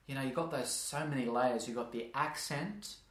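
A man, in a middle-pitched voice, asks long, rambling questions and keeps hunting for the right phrasing. The recording is roomy and echoey. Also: moderate pace. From Australia. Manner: rambling, searching for words. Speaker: interviewer. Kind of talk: casual interview chat.

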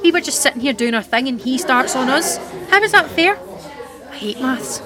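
A woman playing a defiant, sharp-tongued teenage schoolgirl with snappy sarcasm in an audio drama. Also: sits high, expressive, normal speed. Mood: cheeky grumbling. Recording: busy chatter behind the voice.

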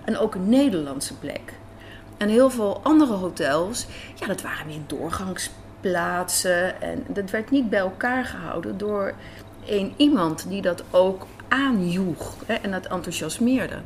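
A woman's voice: mid-range voice, lively intonation, a little slow, a little hiss or hum. A woman telling stories casually as an interview guest. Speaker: interview guest, radio drama writer and director. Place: café in a hotel. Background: faint café ambience.

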